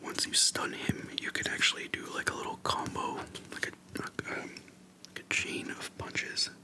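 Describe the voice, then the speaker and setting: A person whispering softly into a close microphone in a casual, slangy, unhurried ASMR chat, pausing often between phrases. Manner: soft whispering, unhurried with pauses; informal slangy speech. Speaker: ASMR video creator. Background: soft tapping and clicking.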